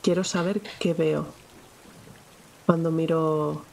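A woman's voice: deep voice, fairly even intonation, slow, vocal fry, darkish tone, a little hiss or hum, rough recording. A woman player in a tabletop roleplaying game, describing what her character does.

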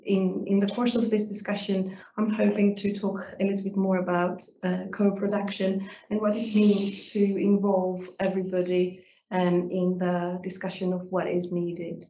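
A woman with a Greek accent, speaking as a lecturer on a university panel in a measured, hesitant way with frequent pauses. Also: medium pitch, dark tone, a little hiss or hum. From Greece. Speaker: lecturer in education. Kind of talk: panel discussion. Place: university seminar room with audience. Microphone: handheld microphone. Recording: echoey room from a distance, dull sound.

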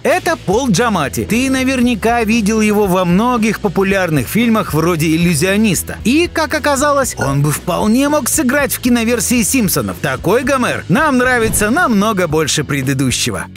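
A man's voice: sits high, very animated, normal speed, hardly any pauses, a bit bright. A man reading scripted narration for an entertainment video, playful and exclamatory, speaking straight to the viewer. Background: background music.

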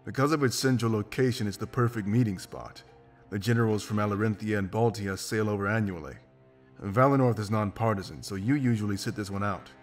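An actor playing a confident, pragmatic wolf mercenary in a video game, blunt and self-assured. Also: male voice, on the low side, brisk, lightly acted. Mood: explaining. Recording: music behind. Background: game music.

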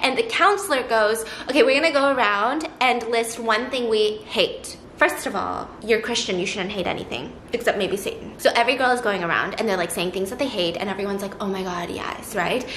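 A young woman hosting a casual chat, telling a story quickly and self-deprecatingly, with a lot of "like". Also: mid-range voice. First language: Korean. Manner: fast, animated, self-deprecating storytelling with lots of "like". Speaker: YouTube host. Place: living room, seated on a round sofa. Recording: echoey room with faint noise.

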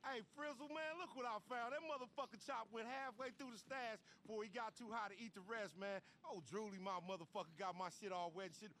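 An actor playing a cocky, excitable stoner hustler in a video game, American accent, slangy and profane. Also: male voice, high-pitched, fast, hardly any pauses, slight background noise. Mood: excited. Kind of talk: video game cutscene acting.

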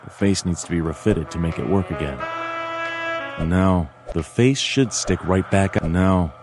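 A man voicing a world-weary, wry animal detective narrating a noir video game in a dry, deadpan way. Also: deep voice, measured pace, music in the background.

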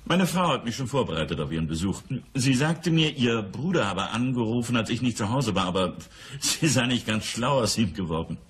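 An actor playing a casual, wryly humorous wealthy businessman in a radio crime drama, with a very rough, husky voice. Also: medium pitch, expressive, brisk. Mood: amused. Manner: salopp, humorous, chuckling asides.

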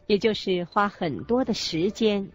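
A woman reading scripted religious teaching as a co-narrator, in a measured, explanatory manner. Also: mid-range voice. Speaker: co-narrator of a Buddhist teaching radio program. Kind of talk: scripted didactic narration in a radio program. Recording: muffled lo-fi audio with music underneath. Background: background music.

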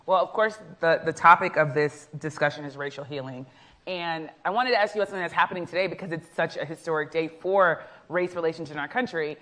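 A woman in her thirties whose first language was Haitian Creole, interviewing on an indoor festival stage with a lapel mic, lively and laughing easily. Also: low-pitched, quick pace. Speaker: interviewer, journalist. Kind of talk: onstage festival conversation.

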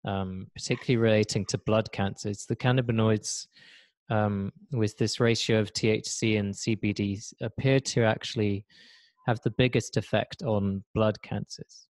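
A man in his thirties from Switzerland hosts a casual video-call podcast in a low voice. He halts and repeats words as he feels his way through a point, and the audio is close and dry. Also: fairly even intonation, no room sound. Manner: halting, repeats words as he searches. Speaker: podcast host. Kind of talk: casual conversation. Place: indoor room. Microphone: video call, desk mic.

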